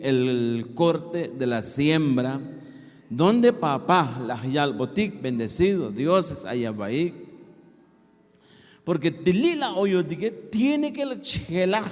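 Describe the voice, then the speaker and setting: Animated preacher in a reverberant hall. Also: male voice, a little high. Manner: animated preaching with frequent affirmations.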